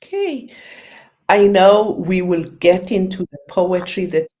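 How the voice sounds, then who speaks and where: A woman's voice: fairly low pitch, slow pace, dark tone. A woman hosting a radio interview show, talking effusively with many fillers.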